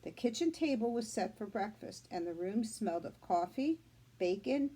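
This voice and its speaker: A woman doing a read-aloud for young children, explaining simply with deliberate emphasis, like a teacher. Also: medium pitch, expressive, mellow, slightly rough, low-quality recording. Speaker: children's story reader, school media specialist.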